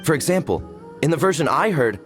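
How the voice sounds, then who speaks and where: Earnest, animated tribesman. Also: on the high side, fairly quick, faint music behind. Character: modest, earnest tribesman proud of family stories. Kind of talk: video game voice acting.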